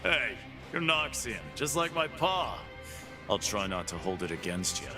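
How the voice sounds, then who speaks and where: An actor voicing a cocky, brawling male fight-pit boss in game voice lines, full of gruff, boastful trash talk, with game music behind. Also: on the low side, sing-song, a little slow.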